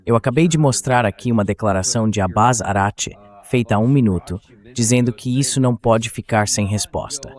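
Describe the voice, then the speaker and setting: Male AI dub voice, interview host, conversational questioning, mid pitch. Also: fairly quick. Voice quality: synthetic AI voice.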